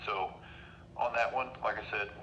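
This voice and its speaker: A man's voice: on the low side, steady tone, moderate pace, bright, forceful tone. A man speaking as a law enforcement official on a phone call, firm, procedural and matter-of-fact. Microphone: telephone. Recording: dull, noisy telephone line.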